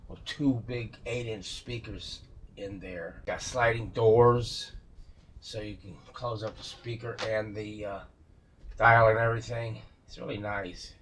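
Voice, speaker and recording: A man giving casual, unscripted commentary as he works on a vintage radio, musing aloud in a halting way to himself and his viewers. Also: fairly low pitch, fairly even intonation, pushed and bright. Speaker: vintage radio restorer showing a repair.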